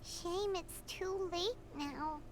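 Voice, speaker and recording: A woman's voice: very high voice, extremely slow, a little hiss or hum, full character voice. A woman voicing a small, childlike companion creature in a video game, curious and sympathetic. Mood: regretful.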